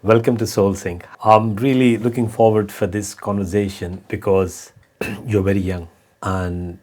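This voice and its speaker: A man's voice: fairly low pitch, steady tone, measured pace, a little mellow. A man hosting a podcast, probing his guest with questions and interjecting. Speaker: podcast host.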